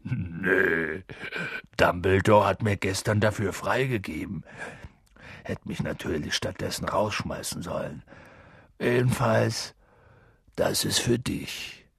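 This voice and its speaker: Man playing huge bearded softie, guilt-ridden, rough colloquial speech, halting, husky, audiobook character. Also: deep voice, no room sound, studio quality, full character voice. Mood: subdued, tender.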